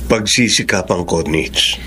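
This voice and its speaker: Casting: earnest Filipino lover, radio drama, deeper register, faintly noisy recording. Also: male voice, a little slow, sharp tone. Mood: earnest devotion. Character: devoted male lover, earnest and eager for acceptance.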